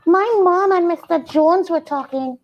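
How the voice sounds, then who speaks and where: A woman's voice: extremely high pitch, a little slow, highs cut off. A woman voicing Priya, an eager, caring Trinidadian schoolgirl in a puppet show, who talks earnestly and gasps at news. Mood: explaining. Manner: eager, gasping, earnest explaining.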